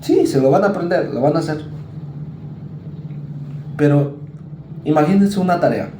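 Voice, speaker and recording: A man's voice: on the high side, mellow, a little hiss or hum. Mexican man lecturing in an echoey room. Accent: Mexican Spanish. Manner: conversational, acts out students and parents in quick asides. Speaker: university lecturer. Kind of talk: recorded psychology lecture.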